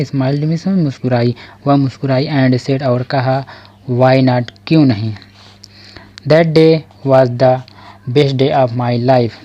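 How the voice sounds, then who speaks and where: Indian guy teaching english super slow, warm low voice, kinda muffled lo-fi audio. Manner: reads English lines very slowly, then explains in Hindi. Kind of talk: slow bilingual English lesson. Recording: dull lo-fi sound.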